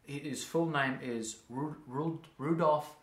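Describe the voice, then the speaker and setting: A man's voice: fairly high pitch, slow pace, roomy sound, noisy background. A man, interviewing casually, rambling and searching for his words. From Australia.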